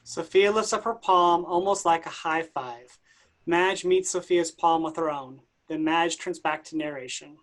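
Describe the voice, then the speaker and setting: A woman's voice: lowish voice, a little slow. A woman reading out scripted stage directions as the narrator of a play reading.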